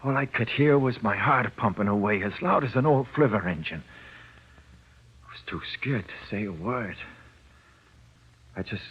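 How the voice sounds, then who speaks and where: A man's voice: fairly low pitch, lively intonation, normal speed, long pauses, slightly rough. An actor playing a frightened jailed gangster in an old-time radio crime drama, with slangy, ungrammatical tough-guy talk that cracks into pleading, on a muffled old recording. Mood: grim, confiding. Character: frightened, panicky jailed gangster, afraid of the dark.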